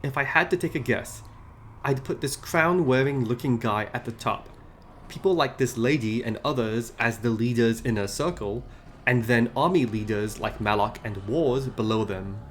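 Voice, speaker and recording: A man hosting a scripted video essay about game lore, explaining in a measured way. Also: medium pitch, slight background noise. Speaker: video host.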